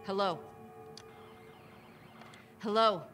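A woman's voice: mid-range voice, very slow, music in the background. An actress playing a determined, hopeful young woman survivor in a video game, speaking naturally in terse, clipped phrases. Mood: hopeful, searching.

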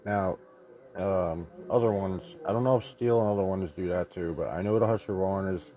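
A man's voice: sits low, moderate pace, warm tone. A man giving a casual hands-on repair tip in a rambling, plain-spoken, mildly profane way. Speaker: chainsaw repair tip presenter.

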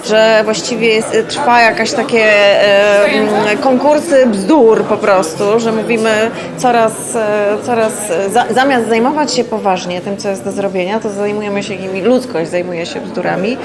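A woman's voice: medium pitch, fairly even intonation, measured pace, few pauses, a crowd in the background. A woman answering an interview at a festival, thoughtful and hesitant with frequent filler sounds.

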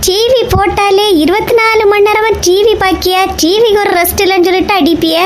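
A woman's voice: very high voice, fairly even intonation, fairly quick, slight background noise. A woman voicing a cheeky, questioning young daughter in a comedy cartoon, pert and teasing, with a Kanyakumari Tamil accent. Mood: suspicious. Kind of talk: comedy cartoon voice acting in colloquial dialect.

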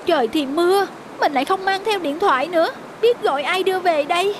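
A woman voicing a sharp-tongued, pert young female street vendor in a cartoon. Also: very high voice, background noise. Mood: pained, distressed. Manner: pert, quick to argue. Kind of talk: cartoon dubbing. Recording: music behind the voice.

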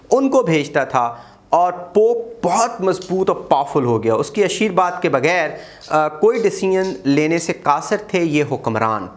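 A man gives an exam-prep history lecture. He gestures a lot, repeats key dates and often pauses with "uh". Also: sits high. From Pakistan. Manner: animated, gestures widely, repeats key dates, frequent "uh" fillers. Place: small room in front of a whiteboard. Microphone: lapel mic.

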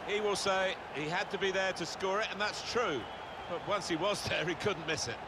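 A man giving play-by-play football commentary, building excitement whenever a chance opens up. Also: sits high, moderate pace. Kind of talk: lively match commentary. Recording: in-game commentary under loud game noise.

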